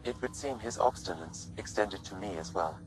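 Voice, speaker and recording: A man voicing a calm, measured artificial intelligence companion in a video game, speaking with precise, formal phrasing. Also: lowish voice, natural voice, low-quality recording. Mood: measured, analytical. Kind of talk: scripted video game dialogue. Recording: music behind the voice.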